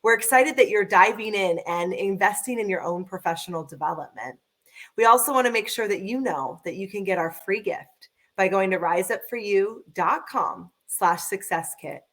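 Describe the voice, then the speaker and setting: A woman's voice: mid-range voice, normal speed, a bit bright. A woman hosting a podcast, introducing her guest with effusive enthusiasm. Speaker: podcast host. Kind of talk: upbeat interview hosting.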